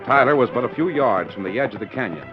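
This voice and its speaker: A man with a mid-pitched voice gives formal, dramatic narration for an old radio western. The recording is muffled, with clear music behind him. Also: lively intonation, fast talker.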